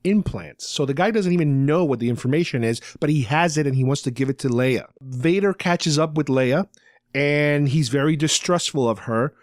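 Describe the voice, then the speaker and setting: A man's voice: medium pitch, no echo, clean studio sound. A man hosting a podcast, casually recapping a story in an explanatory, rambling way. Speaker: podcast host. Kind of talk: casual talk recapping a radio drama.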